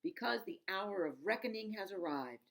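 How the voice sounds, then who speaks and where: A woman's voice: mid-range voice, expressive, normal speed, a bit bright, low-quality recording. Lady doing a stern old grandma from a storybook, super solemn and dramatic, grand proclamations. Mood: grave. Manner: solemn, portentous. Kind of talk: storybook read-aloud.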